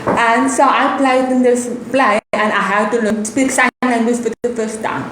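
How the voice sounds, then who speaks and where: Deaf South African woman, Afrikaans first language, higher voice, earnest radio guest heard over a boomy live line. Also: fairly even intonation, normal speed, a bit bright. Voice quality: speaks with a deaf speech pattern, hard of hearing. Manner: earnest, with many fillers and restarts. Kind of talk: radio interview, personal testimony. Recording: echoey live feed with background noise.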